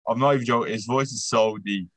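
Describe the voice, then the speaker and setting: A man's voice: medium pitch, fairly even intonation, fast talker, a bit bright, dry recording. A young man co-hosting a podcast in loose, sweary casual chat. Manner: loose and sweary, laughs often. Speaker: podcast co-host.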